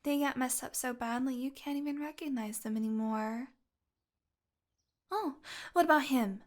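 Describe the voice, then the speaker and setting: A woman's voice: high voice, normal speed, close to an everyday voice. A woman playing a possessive fantasy healer in an audio roleplay, teasing and condescending, coaxing the listener with sweet menace and a domineering streak. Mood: coldly explaining.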